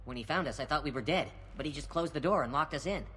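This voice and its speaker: An actor playing a blunt, bitter, overworked construction worker in a video game. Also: male voice, a little high, fast talker, fairly bright tone, breathy edge.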